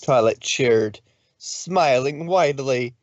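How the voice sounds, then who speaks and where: A man's voice: medium pitch, slow pace, no echo, highs cut off. A man narrating a story informally, with a loose delivery in which he sometimes corrects himself. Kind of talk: informal fan fiction reading.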